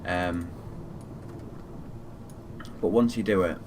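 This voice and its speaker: Deep-voiced man streaming over background noise. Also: fairly even intonation, takes it slowly. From Fiji. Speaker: flight simulator live streamer. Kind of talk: casual live gaming commentary.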